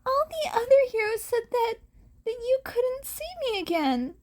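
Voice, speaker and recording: Timid small girl played by a woman, very high voice, earnest and hesitant, weighed down by guilt, audio storybook. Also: very animated, a little slow, a little mellow, exaggerated voice. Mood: breathless, worried.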